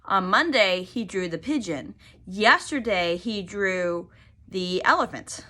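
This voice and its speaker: A woman teacher reading a picture book aloud to young children, enunciating deliberately and prompting them with questions. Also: medium pitch, expressive, bright, forceful tone.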